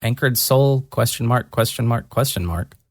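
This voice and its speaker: A narrator voicing a gentle young woman mage who murmurs softly and deliberately, earnest and lightly teasing, in a fantasy audio story. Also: medium pitch, no room sound, clean studio sound, close to an everyday voice. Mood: earnest, explaining.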